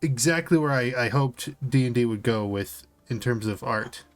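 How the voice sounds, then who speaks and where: A man's voice: medium pitch, moderate pace, faint music behind. A man co-hosting a podcast, giving opinions in an informal, casual chat. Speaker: podcast co-host. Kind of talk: casual chat reacting to a video.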